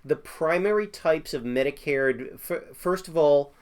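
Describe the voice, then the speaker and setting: A man with a hoarse voice from a cold, explaining plan types off the cuff and often clearing his throat. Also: fairly high pitch, animated, unhurried. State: hoarse, getting over a cold. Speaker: insurance agent. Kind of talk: casual explainer talk.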